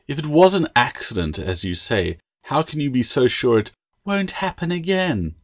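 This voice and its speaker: A man's voice: medium pitch, very animated, moderate pace, voice pushed up, close to an everyday voice. A man voicing a stern, pompous older schoolmaster with a snapping, sarcastic manner, in an audiobook fantasy tale. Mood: mocking, severe.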